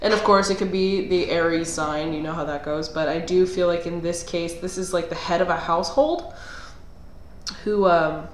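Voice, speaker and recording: A woman's voice: fairly low pitch, fairly even intonation, normal speed, reverberant, a little hiss or hum. Slightly nasal woman reading tarot. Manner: thinks aloud with fillers and restarts. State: under the weather, clearing throat. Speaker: tarot reader. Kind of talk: casual tarot reading monologue.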